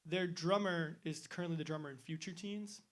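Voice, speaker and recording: Slightly high-voiced teenage musician. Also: male voice, a little fast. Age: teens. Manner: chatty, self-deprecating storyteller. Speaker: band member guest. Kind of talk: casual interview answers.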